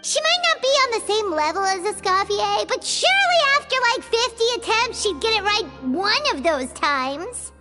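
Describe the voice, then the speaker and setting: A small floating companion from a video game, extremely high and squeaky. The delivery swings up and down dramatically, curious and blunt like an outspoken child, with prominent music underneath. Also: female voice, very animated, moderate pace, hardly any pauses. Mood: puzzled, skeptical. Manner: squeaky, exclaiming, questioning. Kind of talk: animated game voice acting. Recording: music behind the voice.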